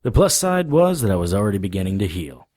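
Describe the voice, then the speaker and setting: A man playing a weary, wry monster fighter, narrating an audio drama in the first person with a husky voice. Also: on the low side, big pitch swings, fast, a little mellow, dry recording, clean studio sound. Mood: wry, pained.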